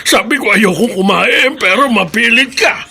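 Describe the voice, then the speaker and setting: Querulous old Filipino father for radio drama: irritable, bright-edged voice that swings widely. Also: high-pitched, expressive, moderate pace, vocal fry, slightly rough, exaggerated voice. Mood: peevish. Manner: querulous, snapping.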